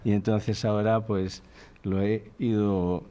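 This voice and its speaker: Low, hesitant Chilean-born man in his sixties. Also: little pitch movement, slow pace, mellow, a little hiss or hum. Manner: thoughtful, hesitant, searching for words. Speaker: guest, father of a large family. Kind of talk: reflective interview answers.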